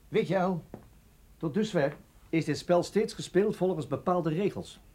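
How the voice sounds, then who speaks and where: An actor playing a level-headed, sceptical colleague in a radio drama, dry and reasoning in manner. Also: male voice, a little high, a little mellow.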